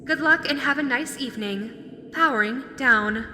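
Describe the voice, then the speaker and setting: A polite, officious robotic guide, voiced by a woman for an audio roleplay. The pitch is on the high side and the delivery is formal and instructive. It rings in a long reverb with clear noise behind it. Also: normal speed, bright, forceful tone. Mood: politely officious. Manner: formal, instructive, matter-of-fact. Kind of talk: scripted announcement in character audio roleplay.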